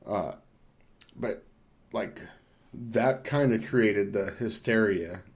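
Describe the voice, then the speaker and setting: A man's voice: on the low side, slow pace. A man talking casually as a podcast host, in a rambling, informal and opinionated way. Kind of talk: casual discussion of games.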